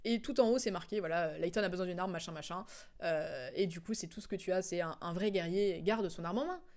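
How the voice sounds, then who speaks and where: A woman's voice: fairly low pitch, fairly quick, darkish tone. A young adult woman giving casual, slangy commentary on a game stream, with a playful, teasing manner.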